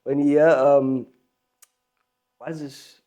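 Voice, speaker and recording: A man's voice: on the high side, takes it slowly, highs cut off. A South African man, a guest musician, talking in a casual podcast conversation, emphatic and fond of repeating phrases. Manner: animated, emphatic, repeats phrases, laughs often.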